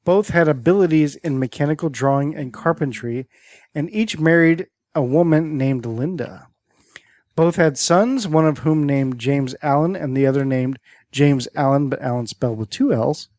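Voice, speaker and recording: A man's voice: a little high, moderate pace, a little mellow, dry recording. A man hosting a podcast and reading out a list of strange stories in a casual way, now and then tripping over names; his first language is Greek. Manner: storytelling, stumbles over names and words. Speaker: podcast host presenting a list.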